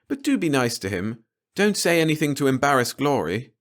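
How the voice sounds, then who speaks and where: A man's voice: medium pitch, sing-song, a little fast, pitched above the performer's own voice, natural voice. A man voicing a placid, dreamy, materialistic wife and mother in a storybook reading. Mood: dreamy, pleased.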